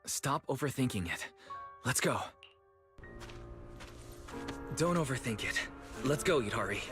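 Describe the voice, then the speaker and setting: An earnest young man of a video game, voiced by an actor, with a lively, swooping delivery at a medium pitch. He sounds caring and encouraging as he leads. Music plays clearly behind the dialogue. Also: moderate pace, lightly acted. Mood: urging. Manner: encouraging, concerned. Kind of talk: English video game voice acting.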